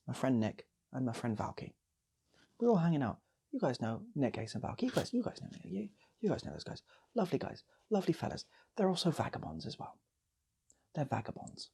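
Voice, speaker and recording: A man's voice: medium pitch, mellow, breathy edge. A man speaking casually as a channel host, in a playful, rambling way with repeated phrases, like improvised comic storytelling.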